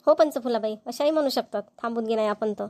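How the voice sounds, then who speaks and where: A woman's voice: fairly high pitch, fast talker, a little mellow, dry recording, clean studio sound, close to an everyday voice. A woman voicing a gossipy, impatient village housewife in a cartoon comedy, with a Vidarbha Marathi accent. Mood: impatient, grumbling.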